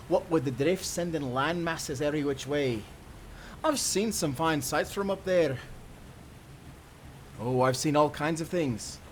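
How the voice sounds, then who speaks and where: A man's voice: fairly high pitch, a little hiss or hum, natural voice. A man playing a cheerful, dreamy young sailor with old-time nautical speech in an audio roleplay. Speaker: sailor guide and companion.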